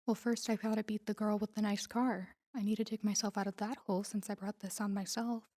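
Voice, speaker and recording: A woman performs a wealthy, self-assured girlfriend in an intimate roleplay audio drama. Her tone is mellow and relaxed at a middling pitch. She teases playfully and talks smoothly, like a young woman used to getting what she wants. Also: a little fast, close to an everyday voice. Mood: playful teasing. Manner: teasing, smooth-talking, playful.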